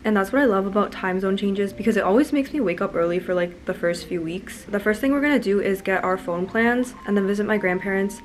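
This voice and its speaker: A woman's voice: medium pitch, a little hiss or hum. A woman in her twenties with a Canadian English accent, chatting casually and upbeat to a handheld camera while vlogging. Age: 20s. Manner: chatty, upbeat, filler-heavy. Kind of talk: casual vlog talk to camera. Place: indoors and outdoors, hotel room and rooftop. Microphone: handheld camera close to face. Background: background music.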